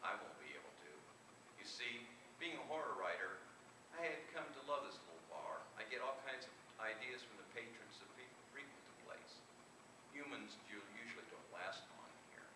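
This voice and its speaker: A man with a fairly low voice reads horror fiction aloud to present his own writing. He is recorded live in a roomy, echoing space, some way from the microphone. Clear noise sits behind his voice. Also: recorded from a distance. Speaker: author reading his work aloud. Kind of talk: live reading of first-person horror fiction. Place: indoor room at a convention reading.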